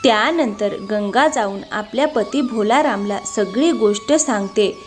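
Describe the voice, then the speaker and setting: A woman's voice: mid-range voice, a little slow. A woman giving scripted storytelling narration for a moral tale. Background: music.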